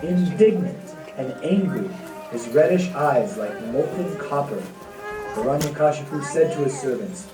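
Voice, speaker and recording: A woman's voice: sits low, lively intonation, measured pace. A woman narrating a scripted devotional tale as storyteller for a puppet show. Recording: echoey, distant, music underneath. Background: music.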